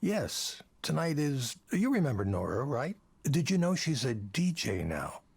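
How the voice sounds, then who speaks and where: Casting: self-assured older executive in a visual novel, suggestive and persuasive, with creaky, animated delivery. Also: male voice, medium pitch, unhurried, dry recording, exaggerated voice. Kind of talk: visual novel game voice acting.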